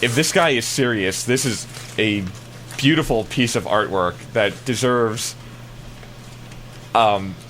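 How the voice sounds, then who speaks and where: A man with an American accent talks in loose, casual banter. His voice is bright and mid-pitched, and he wanders through his thoughts hesitantly. There is faint noise in the background. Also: slow, pauses often.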